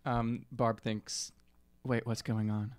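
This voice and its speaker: A woman's voice: very deep voice, little pitch movement, slow, a little mellow. A woman takes part in a tabletop game, slipping casually between narrating and speaking in character. She sounds conversational. The recording is dry, free of echo. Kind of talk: casual improvised role-play.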